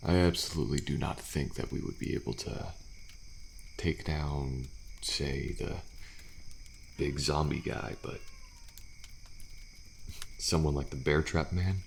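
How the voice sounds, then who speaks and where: Male actor as weary but friendly horror-game survivor, ASMR roleplay. Extremely low, heavy fry, hushed and reassuring. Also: slight background noise, exaggerated voice. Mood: calm, resigned. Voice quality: deep, gravelly. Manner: soft-spoken, hushed, unhurried, reassuring. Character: weary, friendly male survivor of deadly trials, resigned yet hopeful. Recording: faint night insect ambience behind.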